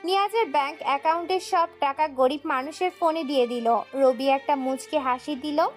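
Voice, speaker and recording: A woman's voice: high voice, moderate pace, faint music behind. A woman narrating a scripted dramatic story as its storyteller.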